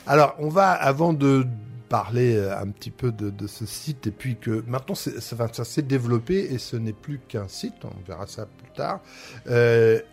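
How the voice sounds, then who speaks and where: A man's voice: mid-range voice, lively intonation, moderate pace, vocal fry, faint music behind. A man hosting an interview, chatty and friendly, with many fillers and hesitations. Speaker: host. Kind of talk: radio interview chat.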